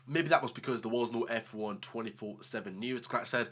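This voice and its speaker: A man's voice: mid-range voice, a little fast, a bit bright. Guy with a cold wrapping up the video, tired but chatty. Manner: chatty, joking. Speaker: host. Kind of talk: casual sign-off chat.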